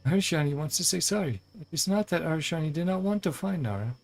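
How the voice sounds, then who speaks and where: A small, gentle game spirit, voiced by a woman in a raised pitch. It speaks earnestly and apologetically, referring to itself in the third person. Faint music plays behind. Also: animated, fast talker, creaky. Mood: earnest, apologetic.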